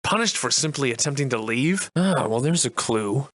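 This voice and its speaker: An actor playing a wry, defiant Victorian-era adventurer and monster slayer in a video game, soliloquizing in florid, old-fashioned diction. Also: male voice, mid-range voice, lively intonation, normal speed, fairly bright tone, no room sound. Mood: wry, musing. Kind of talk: theatrical video game voice lines in archaic English.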